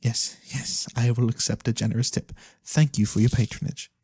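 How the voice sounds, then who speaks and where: A performer voicing a mysterious, courteous fantasy lorekeeper in a soft-spoken ASMR roleplay, breathy and theatrical with knowing pauses. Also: medium pitch, fairly even intonation. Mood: warm, courteous. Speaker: storyteller character.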